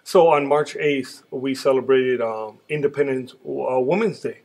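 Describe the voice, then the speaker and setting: Gravelly, husky male co-host, farther off. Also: mid-range voice, a little mellow. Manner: slow, halting questions. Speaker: pastor, co-host. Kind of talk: interview questions.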